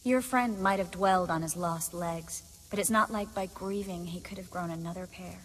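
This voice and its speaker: An actress playing a young sorceress in a video game, wry, guarded and teasing. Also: female voice, lowish voice, moderate pace, in a large hall, slight background noise, lightly acted.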